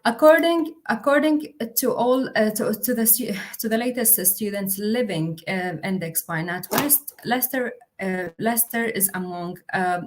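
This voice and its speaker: A young woman, a university officer, presenting a livestream with many fillers and restarts. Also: mid-range voice. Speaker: international officer.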